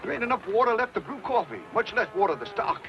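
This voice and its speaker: A man's voice: sits high, big pitch swings, fast talker. An actor playing a stern, grumbling wagon train boss in an American Western, blunt and folksy in his talk. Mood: exasperated. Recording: dull old recording, faint music behind.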